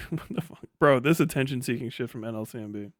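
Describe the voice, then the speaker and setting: Male stream host, mid-pitched, blunt and profane, off-the-cuff opinions, very little room sound. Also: brisk, no echo, studio quality. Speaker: livestream host reading and answering chat. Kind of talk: casual, unscripted livestream commentary.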